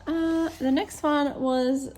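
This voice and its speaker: An Australian woman, a teacher with a slightly hoarse voice, chatting casually with lots of ums as she shows her colouring pages. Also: sits high, fairly even intonation, slow pace, dark tone, slight background noise, rough recording. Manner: chatty, many ums, corrects herself. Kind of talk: casual flip-through chat.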